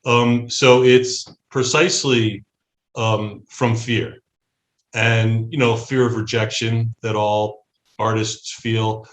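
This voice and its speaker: A middle-aged American man, a musician, talking earnestly and haltingly as a guest in a casual interview. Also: fairly low pitch, level tone. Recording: roomy echo.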